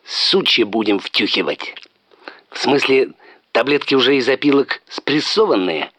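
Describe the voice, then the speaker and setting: A brash small-time businessman in a radio drama. He talks in short, blunt, slangy bursts at a middling pitch. The sound is close and dry. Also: expressive, a little slow, fairly bright tone, slightly rough, dry recording. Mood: brisk, blunt. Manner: terse, slangy.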